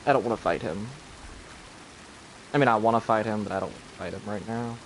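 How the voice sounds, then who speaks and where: A man's voice: on the low side, a little fast, gravelly. A man streaming a game with casual, offhand commentary, often muttering to himself as he thinks things through. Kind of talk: casual live gameplay commentary. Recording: noisy live stream with game audio.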